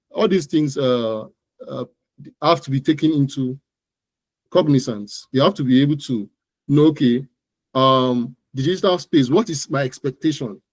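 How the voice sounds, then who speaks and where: A man's voice: on the high side, darkish tone, dry recording. A man with a Nigerian accent, an expert guest explaining informally and repeating phrases for emphasis. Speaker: guest expert, digital marketer. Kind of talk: informal explanatory interview talk.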